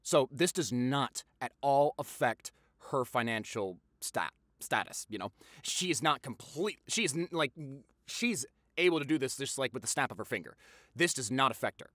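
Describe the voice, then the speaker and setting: A man playing a popular boyfriend eagerly telling gossip to his partner in a conversational audio roleplay, with dramatic pauses and repeats for emphasis. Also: medium pitch, lively intonation, a bit bright, dry recording, studio quality. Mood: storytelling, suspenseful.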